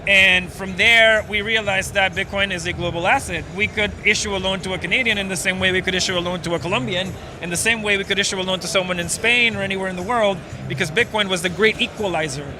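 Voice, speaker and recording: A man's voice: high voice, fast, hardly any pauses, background noise. A man from Venezuela, a startup co-founder, explaining at length in a casual interview with many fillers. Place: outdoors at a busy conference.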